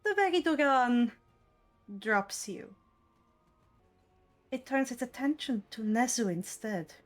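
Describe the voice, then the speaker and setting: High-pitched, unhurried woman game master. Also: expressive, soft background music. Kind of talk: running an online tabletop roleplaying game.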